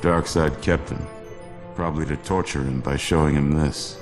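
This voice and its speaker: A man's voice: a bass voice, fairly even intonation, normal speed, over music. A man playing a grim, terse superhero tactician in a video game, speaking in a clipped, stern way. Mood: terse, commanding.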